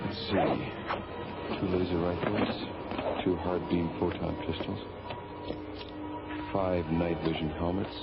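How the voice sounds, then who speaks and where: An actor playing a level-headed, practical space crew commander in a radio drama, steady and businesslike. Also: male voice, low-pitched, takes it slowly, gravelly, long pauses, full character voice. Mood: steady, serious. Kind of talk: old-time radio drama acting. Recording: dull radio recording with loud music.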